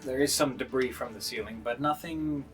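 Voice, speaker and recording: A man running a tabletop game as game master, narrating scenes off the cuff with halting pauses to think. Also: medium pitch, soft background music.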